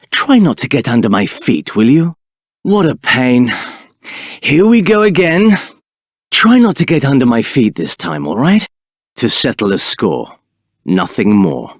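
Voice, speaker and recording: An actor playing an arrogant, ambitious and bitter villain in a fantasy video game, speaking in a haughty, declamatory, deliberate way. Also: male voice, mid-range voice, no echo, clean studio sound. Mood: disdainful, impatient, then cold, low. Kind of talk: theatrical video game character acting.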